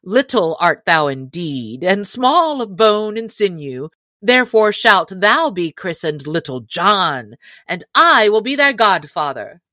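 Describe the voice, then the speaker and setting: A woman's voice: medium pitch, very animated, unhurried, bright, forceful tone, no echo, studio quality. Woman playing a teasing outlaw joker. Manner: teasing, mock-formal. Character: jesting male outlaw, teasing.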